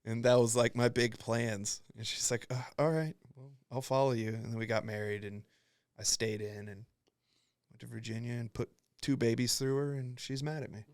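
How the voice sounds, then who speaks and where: Dry, mid-pitched casual male podcast host. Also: steady tone, normal speed, dry recording. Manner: profane, rambling storyteller who acts out quotes.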